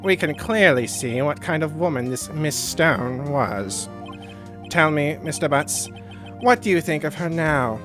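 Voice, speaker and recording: A man's voice: a little high, animated, unhurried, fairly bright tone, soft background music, voice pushed down. A man voicing a smug, condescending prosecutor in a courtroom video game, speaking formally and pointedly. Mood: pressing. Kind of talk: video game role-play.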